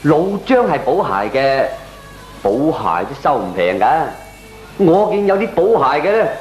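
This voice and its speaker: A man's voice: fairly high pitch, sing-song, moderate pace. A man playing a reasonable friend in a comedic radio drama, talking conversationally and explaining patiently with a Hong Kong Cantonese accent. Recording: roomy, echoing radio drama recording.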